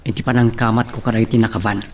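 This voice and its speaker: A man's voice: lowish voice, flat delivery, fast, recorded from a distance, natural voice. A man with a Filipino accent playing a brave, respectful young man giving an earnest account in an audio drama. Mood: earnest, urgent. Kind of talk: Filipino radio-style drama acting.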